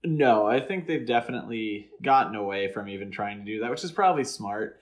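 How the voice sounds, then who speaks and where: A man's voice: fairly low pitch, animated, brisk. A man co-hosting a podcast, giving his opinions on a film in loose, casual conversation. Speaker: podcast host.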